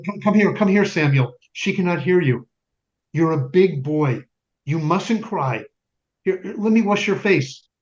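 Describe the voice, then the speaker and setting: A man acts out a bereaved wartime father on stage, solemn and deliberate, his voice a little high for a man. He phrases heavily and pauses often, tender yet stern. The recording is slightly muffled. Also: expressive. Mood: tender, urgent. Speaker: stage actor in community theater. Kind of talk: dramatic stage monologue, solemn narration. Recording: dull lo-fi recording, music underneath.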